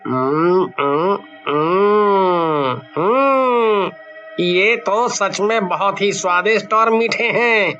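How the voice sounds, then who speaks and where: An actor voicing a male passer-by with deliberate, exaggerated delivery in an animated story. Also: sits high, highs cut off, full character voice. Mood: curious, friendly. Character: curious male passer-by, easily indignant. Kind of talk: Hindi animated story dialogue. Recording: music under the voice.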